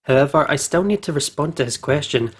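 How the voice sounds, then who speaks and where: A man's voice: fairly high pitch, lively intonation, fast talker, husky. A man hosting a let's play, reading game narration aloud and chatting about it, easily amused. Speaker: gaming video host.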